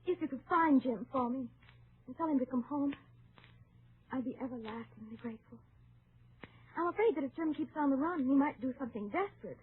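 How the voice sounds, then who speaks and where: A woman's voice: sits high, expressive, fairly quick, long pauses. An actress playing a worried, earnest young lady, polite and pleading, in an old-time radio western. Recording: noisy, tinny old radio recording.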